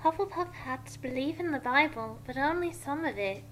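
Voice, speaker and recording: A woman's voice: high-pitched, animated, moderate pace, a bit bright, a little hiss or hum, low-quality recording. A woman voicing a drowsy, self-righteous young girl from a story, casual and sure of herself. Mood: casual, airy. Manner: casual, confident, instructing.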